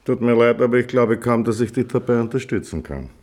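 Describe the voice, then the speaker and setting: An actor playing a smug, scheming small-town official in a radio drama, with a sardonic, slippery way of talking. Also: male voice, mid-range voice, brisk, darkish tone, natural voice. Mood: cutting.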